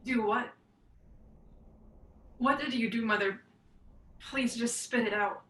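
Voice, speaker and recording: A woman's voice: a little high, takes it slowly, fairly bright tone, slight background noise. A woman acting an exasperated, bewildered adult daughter in a stage play, terse and insistent. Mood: impatient. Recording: roomy, distant microphone.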